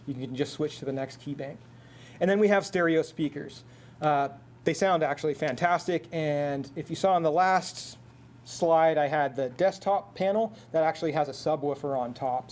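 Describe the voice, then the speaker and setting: Higher-pitched man giving a product presentation. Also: a little mellow. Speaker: product presenter for an intercom manufacturer.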